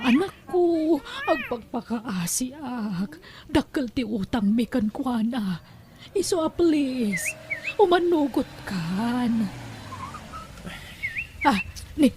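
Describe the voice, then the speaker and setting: A woman's voice: high voice, animated, takes it slowly, long pauses, noisy background. A woman acting a coaxing, plaintive mother who pushes her son in an audio drama. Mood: pleading. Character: pleading indebted mother pushing her son to marry. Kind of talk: Ilocano radio-style audio drama acting.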